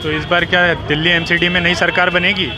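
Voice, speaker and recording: A male reporter asking polite, slow probing questions in a street interview. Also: high voice, little pitch movement.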